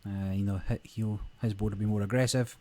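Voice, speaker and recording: A man hosting a gaming channel, talking through a card game in a relaxed, rambling way, his voice a little croaky. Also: lowish voice, fairly quick. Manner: relaxed, rambling, many fillers. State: slightly croaky voice. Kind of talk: casual gameplay commentary.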